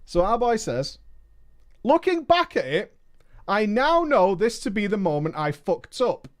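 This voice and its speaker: A man's voice: high voice, big pitch swings, measured pace. A man streamer reading a viewer's story aloud and breaking in with emphatic, mocking asides.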